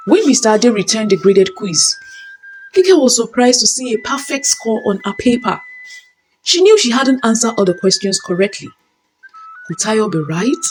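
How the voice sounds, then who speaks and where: A woman narrating a scripted dramatic story in a measured, deliberate storytelling manner. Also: fairly high pitch, darkish tone, poor audio.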